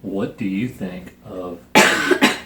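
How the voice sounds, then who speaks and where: Slow-talking, low-voiced man in a roomy space. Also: bright, forceful tone. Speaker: host reading and reviewing a children's picture book. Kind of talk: casual storybook reading and review chat. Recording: roomy echo, noticeable background hiss.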